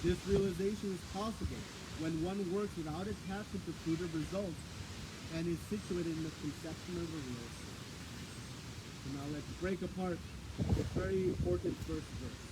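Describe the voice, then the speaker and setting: A man's voice: high voice, moderate pace. A man giving a scripture class outdoors on a battery microphone, talking conversationally and asking his listeners questions. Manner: conversational, asks the audience questions. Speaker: spiritual teacher. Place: outdoors, windy. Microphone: battery microphone, cutting in and out. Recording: wind and outdoor noise, loud.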